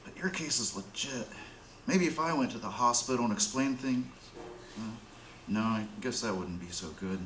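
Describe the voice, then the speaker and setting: A man playing a world-weary, soft-hearted drug addict in a radio play, talking in halting slang. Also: mid-range voice, close to an everyday voice. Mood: bitter, resigned. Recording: roomy, faint background noise.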